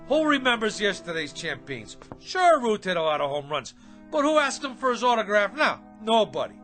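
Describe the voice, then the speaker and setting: A man's voice: sits high, sing-song, normal speed, a bit bright, faint music behind. An actor playing a brash, self-important hack writer in a comedic radio drama, slangy, boastful and stammering as he wheedles. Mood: pleading hard sell.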